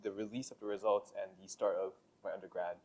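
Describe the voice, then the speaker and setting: Young guy from the philippines hosting a podcast, lowish voice, slow with lots of ums. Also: level tone, darkish tone, a little hiss or hum. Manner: measured, hesitant, many fillers. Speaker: podcast host. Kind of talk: casual interview conversation.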